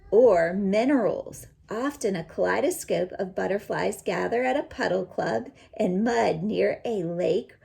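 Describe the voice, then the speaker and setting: A woman teacher reading a children's picture book aloud and pausing to explain it in simple words. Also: medium pitch, vocal fry, darkish tone.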